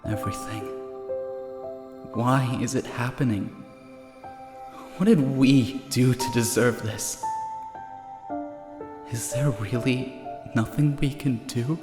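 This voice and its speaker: A man's voice: mid-range voice, slow, long pauses. A man playing a brooding, despairing character in a dramatic inner monologue, with heavy reverb on his voice. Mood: bleak despair. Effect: long reverb. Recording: echoey long reverb, loud music.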